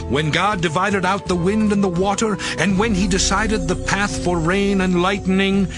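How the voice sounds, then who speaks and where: An actor playing a righteous, once-proud man in a dramatized scripture reading, solemn and expressive. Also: high-pitched. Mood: solemn, reflective. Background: background music.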